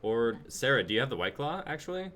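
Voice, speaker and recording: A man's voice: mid-range voice, very animated, quick pace. A man in his twenties casually hosting a livestream show. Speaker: host of a live-streamed reading show. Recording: clear background noise.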